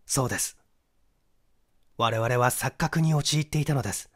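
A man reads as an assured young amateur detective in a mystery audiobook. The voice sits at medium pitch, explaining deliberately and with emphasis. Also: fairly bright tone, lightly acted. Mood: deliberate reasoning.

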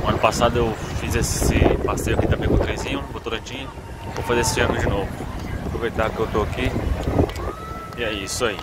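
A man's voice: on the low side, fast talker, heavy background noise. A man in his thirties casually narrating a walking vlog on a phone outdoors at an evening event. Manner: relaxed, chatty narration while walking. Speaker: vlogger. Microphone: phone held by hand. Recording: outdoor phone audio, loud music and crowd. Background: crowd chatter and loud music.

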